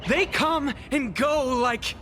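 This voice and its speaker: High-pitched, bitter young fighter. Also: male voice, takes it slowly, a bit bright, a little hiss or hum, full character voice. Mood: scornful. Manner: theatrical, impassioned declaiming. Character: bitter young male squad fighter, defiant and vengeful. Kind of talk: dramatic game voice acting. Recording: background music under the voice.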